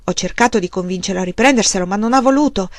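A woman reading a story aloud and voicing a proud, desperate male frontier farmer who speaks in bitter, forceful outbursts. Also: mid-range voice, fast talker, dry recording, clean studio sound, lightly acted.

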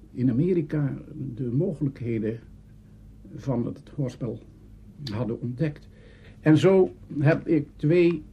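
Unhurried, mellow older man reminiscing. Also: mid-range voice, creaky. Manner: halting, searching memory, spells out names. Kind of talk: reminiscing talk. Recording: dull, faintly noisy archive recording.

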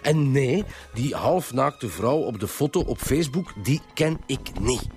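A man's voice: medium pitch, sing-song, measured pace, a little mellow, soft background music. A man with a Flemish accent playing a defensive, self-righteous husband under police questioning in a radio drama. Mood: irritated, fed up. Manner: self-righteous, weary, curt. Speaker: actor in a radio detective serial.

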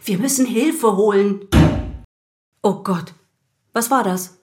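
A woman's voice: medium pitch, slow, breathy edge. Frightened, urgent young woman. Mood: panicked. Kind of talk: German audio drama acting.

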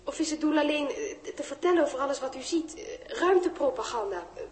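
An actress playing a polite young woman asking questions in a halting manner in a 1960s radio play. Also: sits high, expressive. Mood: shy, curious. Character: polite, hesitant young female forum questioner.

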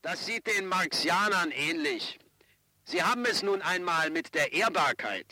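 Sharp, high-voiced mocking anarchist. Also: male voice, unhurried, dry recording, exaggerated voice. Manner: sardonic, animated. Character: mocking, excitable anarchist comrade, 19th century. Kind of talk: 1970s German radio drama acting.

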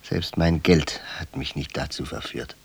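An actor in a German radio play, playing a middle-aged, world-weary rich man with a husky voice and a languid, musing manner. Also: very deep voice, unhurried, heavily acted. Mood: tender, resigned.

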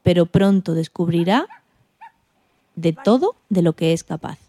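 Warm-toned Spanish woman co-hosting radio. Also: on the low side, lively intonation, a little slow. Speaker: radio co-host. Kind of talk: scripted narration read aloud.

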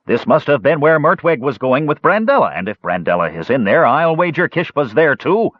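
A man voicing a stocky, suspicious male elf villager with a loud, belligerent manner in an audiobook. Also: on the high side, very animated, brisk, no room sound, dull audio, voice pushed up. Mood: excited accusation. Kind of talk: audiobook character voice.

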